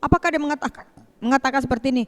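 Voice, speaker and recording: A woman telling a Bible story to children as a Sunday school teacher, in an animated way with rhetorical questions and repetition. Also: high voice, racing.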